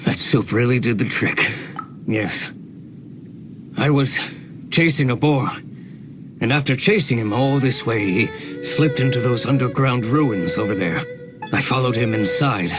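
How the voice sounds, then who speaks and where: An actor playing an earnest, weakened but grateful hunter in a video game, speaking in a measured way. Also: male voice, medium pitch, close to an everyday voice. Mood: relieved, recounting. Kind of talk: scripted game voice acting. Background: music.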